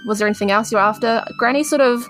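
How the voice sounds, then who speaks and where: New Zealand woman, slightly high voice, casual role-play podcast player over a light music bed. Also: racing, a bit bright. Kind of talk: casual in-character game chat.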